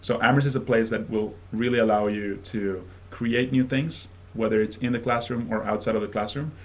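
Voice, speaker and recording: A young man from Venezuela in his twenties presents his college to prospective students in a friendly, chatty way. His voice sits on the low side, and he pauses often with ums. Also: steady tone, poor audio. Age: 20s. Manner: friendly, conversational, with frequent ums. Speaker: college admission fellow.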